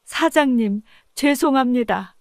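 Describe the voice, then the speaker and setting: A woman's voice: high-pitched, animated, measured pace, dry recording, clean studio sound. A woman playing a meek, apologetic kitchen helper in an audiobook drama. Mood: guilty, pleading. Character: remorseful long-serving female restaurant kitchen helper.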